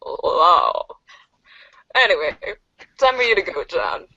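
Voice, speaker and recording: Casting: woman to play evasive, teasing girl confidante for amateur webcomic drama; slow, expressive delivery, muffled sound. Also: on the low side, vocal fry, dry recording, exaggerated voice. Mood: teasing, apologetic. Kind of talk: amateur reader's theater of a webcomic.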